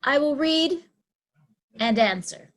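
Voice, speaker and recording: A woman's voice: high voice, lively intonation, slow pace, fairly bright tone, heavily acted. A woman playing a scornful, scheming noblewoman in a Shakespearean play reading, with biting, declamatory verse delivery. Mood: brooding, calculating. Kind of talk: Shakespearean reader's theatre.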